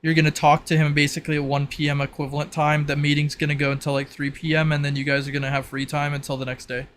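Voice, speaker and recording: A man's voice: fairly high pitch, monotone, fast talker, a bit bright, a little hiss or hum. A man acting as game master, casually explaining the rules of a tabletop roleplaying game.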